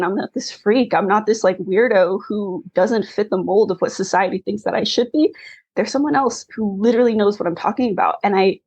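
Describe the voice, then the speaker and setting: A woman's voice: mid-range voice, husky, dry recording, low-quality recording. A woman in her thirties, an author from Taiwan whose first language was Mandarin, speaking earnestly and candidly in an interview, close to tears. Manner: earnest, candid, frequent "like" fillers. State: tearful, close to crying. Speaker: guest, adoptee author. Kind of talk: emotional interview conversation.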